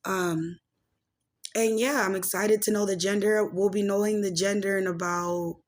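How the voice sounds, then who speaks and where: Woman, late twenties, casual podcast host, slangy, rambling commentary, mid-pitched voice. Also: fairly even intonation, a little slow. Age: late 20s. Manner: rambling, slangy, peppered with "like" and "freaking". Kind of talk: casual gossip commentary and personal chat.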